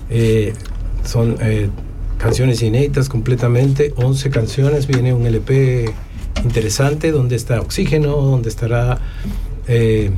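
A middle-aged man from Ecuador speaks casually as a guest musician. He often pauses and restarts his phrases. Loud event ambience surrounds the voice. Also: medium pitch, slight background noise. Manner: unhurried, with many fillers and restarts. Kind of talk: casual interview talk.

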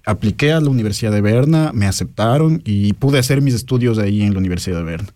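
Young man, Ecuadorian accent, mellow tone, dry studio sound, casual explanatory interview guest, somewhat hesitant. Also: fairly low pitch, expressive, quick pace, no room sound.

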